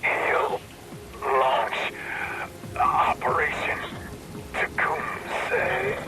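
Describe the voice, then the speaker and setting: An actor playing a gruff, dying mercenary villain in a video game, taunting and smug in a drawl, with rain noise over his voice. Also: on the high side, huge pitch swings, drawn-out words, exaggerated voice. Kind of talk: dramatic video game acting.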